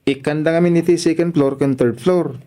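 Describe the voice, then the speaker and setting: An actor with a northern Philippine accent playing a polite, bashful young son in a radio drama, talking in a shy, conversational way. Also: male voice, on the high side, steady tone, normal speed, mellow, close to an everyday voice. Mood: easygoing.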